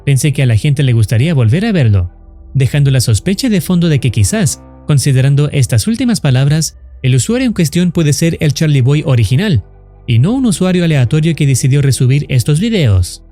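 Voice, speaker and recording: A man's voice: a little high, expressive, fairly quick, warm tone, faint music behind. A man reading scripted narration for a horror explainer video.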